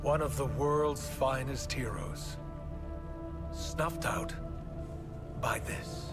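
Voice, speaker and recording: An actor playing an evil alien superhero who taunts his foes slowly and coldly in a video game. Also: mid-range voice, over loud music. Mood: cold, taunting. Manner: taunting, slow and cold. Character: menacing alien superhero turned villain, cold and cruel. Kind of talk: video game cutscene acting.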